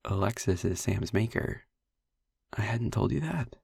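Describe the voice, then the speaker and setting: A man playing a gentle, wistful vampire boyfriend in an intimate audio roleplay, speaking tenderly and hesitantly in a husky, breathy voice. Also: lively intonation, no echo, studio quality, full character voice. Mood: earnest, explaining. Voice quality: husky, breathy, gravelly low voice. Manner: tender, hesitant, slow with pauses. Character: gentle, wistful male vampire boyfriend, youthful, haunted by past. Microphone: close, dry microphone.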